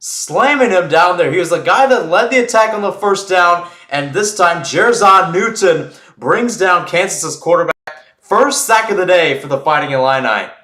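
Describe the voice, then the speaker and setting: A man giving live radio-style play-by-play commentary on American football, conversational and quick to correct himself. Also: sits high, lively intonation, sharp tone, echoey room. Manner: conversational, self-correcting asides.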